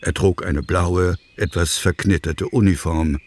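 A man narrating a scripted children's story as an expressive storyteller. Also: low voice, measured pace. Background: music.

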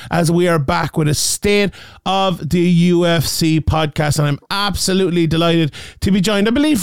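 Man, Limerick Irish accent, podcast host, fast talker, crisp tone, dry sound. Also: a little high, no echo. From Ireland. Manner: fast-talking, stumbling restarts. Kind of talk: casual podcast chat.

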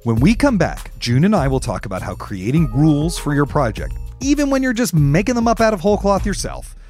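A man with a fairly high voice and very lively intonation reads a scripted podcast teaser. Music plays under him as he co-hosts. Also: fairly quick. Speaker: podcast co-host.

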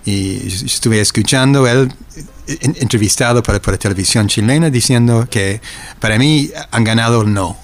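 An older man from the United States with an American accent, a native English speaker, answering interview questions reflectively and haltingly, often repeating syllables as he searches for words. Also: medium pitch. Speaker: interviewee, academic eyewitness.

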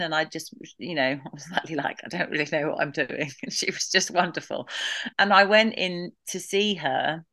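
Low bright-voiced woman, halting and candid. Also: no echo. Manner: halting, many restarts, talks through grief with laughs. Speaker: podcast guest. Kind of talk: candid emotional interview.

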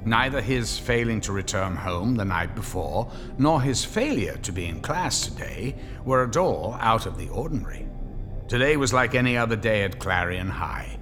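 Man, audio drama narrator, deep-set pitch, animated intonation, scripted storytelling. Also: moderate pace, over music.

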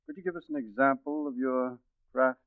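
An actor playing a crook's sardonic, suspicious brother-in-law in a 1940s radio drama, with a supercilious delivery. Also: male voice, medium pitch, steady tone, normal speed, smooth, dry recording, close to an everyday voice. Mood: sneering, suspicious. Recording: tinny 1940s radio recording.

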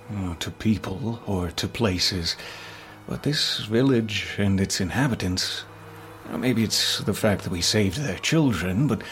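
A man's voice: fairly low pitch, slight background noise, full character voice. A man playing a guarded, teasing character with hesitant, understated delivery in an audio drama. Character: teasing, guarded man slowly admitting he belongs. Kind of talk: acted fan fiction audio drama.

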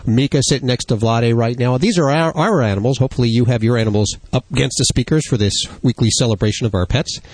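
A man's voice: medium pitch, a little mellow, dry recording. A man hosting a pet radio show, introducing the team, reading sponsor spots and taking calls. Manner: upbeat, quick, fills gaps with uh. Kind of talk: radio host patter and ad reads. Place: radio studio. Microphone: studio microphone.

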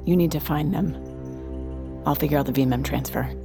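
An actress playing an anxious, awestruck researcher in an audio drama, hesitant and intense. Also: female voice, low voice, moderate pace, vocal fry, over music. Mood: awed, distracted.

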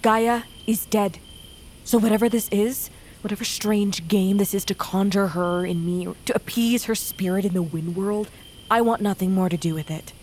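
A woman's voice: mid-range voice, steady tone, normal speed, darkish tone, a little hiss or hum, lightly acted. Guarded, defiant outcast woman played by an actress. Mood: defiant, suspicious. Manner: curt, suspicious. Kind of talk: fantasy audio drama acting. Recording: faint night insect sounds.

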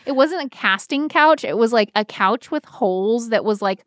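A woman in her thirties co-hosting a casual chat, telling stories in an animated, irreverent way peppered with "like". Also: fairly high pitch, moderate pace, no echo, clean studio sound. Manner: animated, irreverent, frequent "like" filler. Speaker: podcast co-host.

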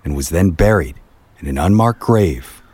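A man's voice: sits low, animated, moderate pace, background noise. A man reading scripted storytelling narration in the manner of a history documentary.

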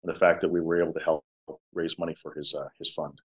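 A man with a low voice, a musician telling stories in a casual interview. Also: little pitch movement, fast. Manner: talkative storyteller, drops to soft tones. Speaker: musician guest.

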